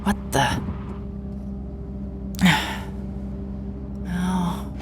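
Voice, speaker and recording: A woman's voice: lowish voice, fairly even intonation, drawn-out words, background noise. A woman voicing a hard-hearted private investigator, narrating in a dry, hard-boiled inner monologue. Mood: guarded. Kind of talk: noir first-person narration.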